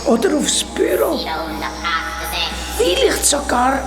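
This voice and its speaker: With music behind and some room echo, an old mender creature played by a woman talks in lively swoops. The Swiss German delivery is breezy and resigned, matter-of-fact about a grim fate, as in a radio play for children. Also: sits high, slow pace, full character voice. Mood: breezy, dismissive. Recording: roomy sound with music underneath.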